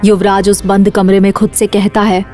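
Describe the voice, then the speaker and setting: A woman's voice: medium pitch, monotone, normal speed, warm tone, faint music behind. A woman reading scripted suspense narration for an audio drama series.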